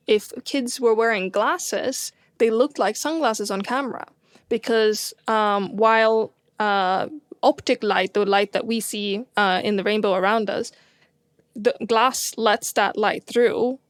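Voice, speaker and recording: A woman's voice: mid-range voice, unhurried, no echo, studio quality. A woman with a Swedish accent, co-hosting a casual science chat as an astronomer, enthusiastic and full of fillers and restarts. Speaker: co-host, astronomer.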